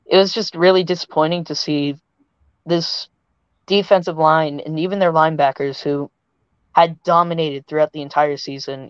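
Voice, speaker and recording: A young man gives measured, detailed football analysis in a smooth, even voice. The webcam sound is dull and dry, with no room echo. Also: a little high. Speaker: panelist. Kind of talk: casual sports analysis.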